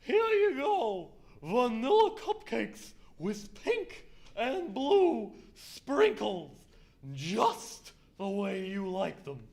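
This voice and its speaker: A squeaky, excitable horse for a children's radio play. The voice is very high and creaky and draws words out very slowly. It stays cheery and agreeable, over faint noise. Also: male voice, heavily acted. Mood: cheerful, excitable.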